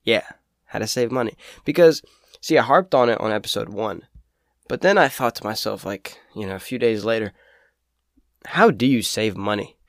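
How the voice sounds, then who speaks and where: A young man in his teens with a raspy voice from a cold, talking casually and rambling cheerfully as a solo podcast host. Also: on the low side, normal speed, no room sound, studio quality. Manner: rambling, lots of fillers, upbeat. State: sick with a cold. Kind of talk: casual solo talk about saving money.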